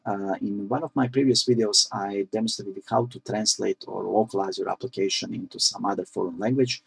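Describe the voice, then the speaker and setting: A man whose first language is Croatian, walking through a coding tutorial in a halting, explanatory way with frequent "uh" fillers, recorded at his computer. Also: fairly low pitch, steady tone, creaky, husky, rough recording. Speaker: programming tutorial presenter.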